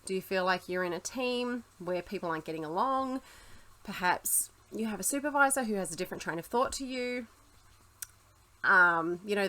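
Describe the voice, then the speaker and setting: A woman's voice: mid-range voice, a bit bright. A woman talking to camera in a casual, reassuring advice vlog for teachers, chatty with the odd laugh. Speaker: teacher vlogger.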